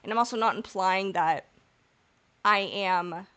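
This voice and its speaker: A woman's voice: medium pitch, a little slow, bright, forceful tone, clean tone. A woman co-hosting a podcast in casual chat. She talks in long, rambling, candid stretches, swears freely and laughs through her own jokes. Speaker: podcast co-host.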